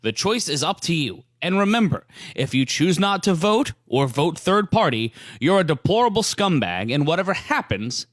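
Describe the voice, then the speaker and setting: A man plays a male TV news anchor in a cartoon parody. The scripted delivery is formal and deadpan, yet the pitch rises and falls a lot. The sound is dry, without room echo. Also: moderate pace. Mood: solemn.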